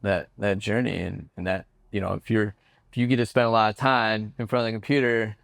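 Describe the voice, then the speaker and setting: A man's voice: fairly low pitch, fairly even intonation, brisk, creaky, a bit bright, no echo. Friendly-prompting male interviewer, dry sound. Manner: encouraging, prompting with short questions. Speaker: interview host. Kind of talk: casual interview. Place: convention floor.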